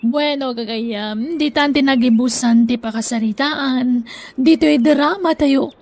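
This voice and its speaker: Ilocano accent lady narrating a drama, very unhurried, higher voice, scripted. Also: gravelly, a little mellow. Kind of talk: scripted narration with moral and credits.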